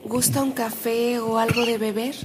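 Polite, even-toned Mexican woman played by an actress. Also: on the high side, normal speed, noisy background, natural voice. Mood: gentle, hospitable. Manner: polite, soft-mannered, hospitable. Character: Lola, courteous, caring sister of a bishop. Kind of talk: Spanish-language radio drama acting.

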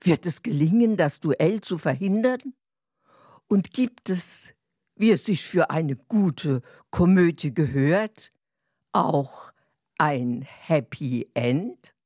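A woman narrates a scripted introduction at an unhurried pace. Her voice is fairly low and breathy, recorded close and dry. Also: lively intonation, a little mellow, dry recording.

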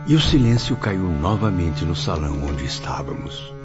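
A reflective spirit memoirist narrating a Brazilian radio drama. His voice is low and expressive, with a formal, literary gravity. Music runs under the lo-fi sound. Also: normal speed. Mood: grave, reflective.

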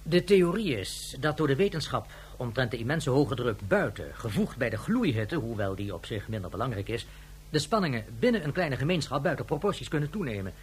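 An actor playing a formal, earnest official in a science-fiction radio drama. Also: male voice, medium pitch, very animated, brisk, slight background noise, close to an everyday voice. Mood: earnest, explaining. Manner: formal, earnest, rhetorical questioning. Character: grave, formal, measured official on a space station. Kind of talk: Dutch radio drama acting.

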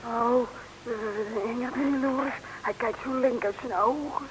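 A woman's voice: on the high side, steady tone, slow. An actress playing an eager, naive young female sidekick to a detective in an old radio comedy serial. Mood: wary. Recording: noisy old radio recording.

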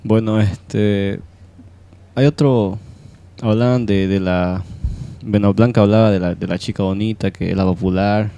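A man's voice: lowish voice, steady tone, measured pace, creaky, dark tone. A man offering an informal opinion in a discussion, hesitant and groping for words. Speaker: panel participant.

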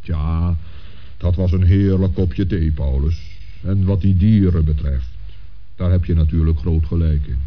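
A very deep-voiced old Saint Nicholas figure from a children's radio play, on a dull old recording. He is stately, kindly and patient, reassuring in a measured way. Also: fairly even intonation. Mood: thoughtful, kindly.

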